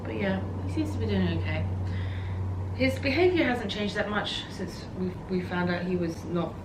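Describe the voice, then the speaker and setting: A woman in her thirties hosting a casual home video podcast, slightly hoarse and sniffly from a sore throat, rambling with lots of ums and little laughs. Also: medium pitch, animated, normal speed. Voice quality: croaky. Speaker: podcast host. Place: home, cats nearby. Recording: roomy echo with noisy ambience.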